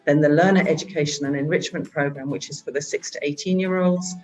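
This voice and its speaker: Woman, halting presentation. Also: low-pitched, fairly even intonation, darkish tone, soft background music, low-quality recording. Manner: halting, with frequent filler sounds. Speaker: head of a charity organisation. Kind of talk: virtual meeting presentation.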